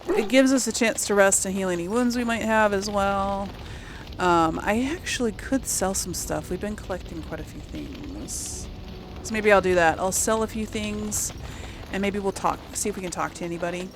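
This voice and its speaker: A woman's voice: medium pitch, normal speed, noisy background. A woman hosting a let's play, chatting casually to her viewers in an informal, playful way. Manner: chatty, addresses viewers, giggly asides. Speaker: gaming video host.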